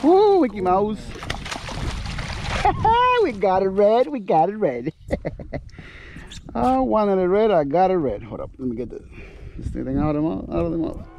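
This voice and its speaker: A fishing vlogger out on a small boat among the mangroves, talking excitedly and laughing as he reels in his catch. His voice sits high and bounces around a lot. Wind and water noise from the action camera runs under everything. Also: a little slow, gravelly, pauses often. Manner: excitable, laughing, talks to the fish. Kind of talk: casual play-by-play while fishing.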